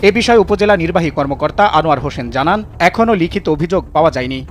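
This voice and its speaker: একটু উঁচু গলার পুরুষ সংবাদ প্রতিবেদক. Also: male voice, on the high side, lively intonation, quick pace. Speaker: news reporter. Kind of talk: scripted news report.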